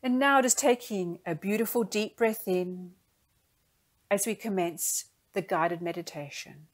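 A woman leading a guided meditation in a gentle, soothing, unhurried manner, speaking in soft phrases. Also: medium pitch.

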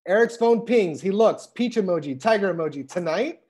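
Female narrator for a comedy script read, medium pitch, delivering scene headings and action. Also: expressive, fairly quick, dark tone, breathy edge. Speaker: stage direction reader. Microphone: video call.

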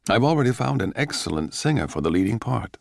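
A man's voice: mid-range voice, big pitch swings, fast talker, creaky, dry recording, studio quality. A man voicing a brash, immodest, gleeful young male composer in a radio drama. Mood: boastful, gleeful.

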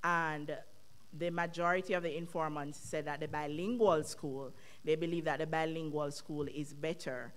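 A woman in her thirties with a Jamaican accent, answering questions on a university panel in a thoughtful, measured way at a podium microphone. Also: lowish voice. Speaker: conference panelist. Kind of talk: academic panel discussion. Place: university lecture hall. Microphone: microphone on stand at podium.

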